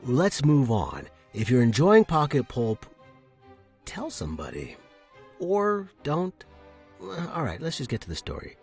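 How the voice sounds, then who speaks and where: A man's voice: medium pitch, wildly swooping pitch, measured pace, a little mellow, soft background music. A man hosting a fiction podcast, chatting informally and reading aloud. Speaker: podcast host and story reader. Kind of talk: casual podcast intro and dramatic story narration.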